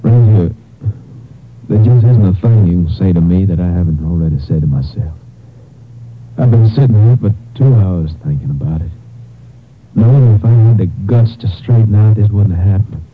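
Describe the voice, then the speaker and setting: An American actor playing a dejected, guilt-ridden young gambler in a 1950s radio drama, hesitant and subdued. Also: male voice, low voice, moderate pace. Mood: anguished. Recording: thin, narrow 1950s radio recording.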